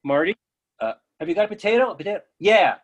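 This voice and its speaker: A man's voice: high-pitched, big pitch swings, fast, muffled recording. A man acting an eager, oblivious friend with an insistent, earnest manner in a readers theatre comedy. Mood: hopeful. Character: eager, oblivious male friend pushing food, stubbornly cheerful.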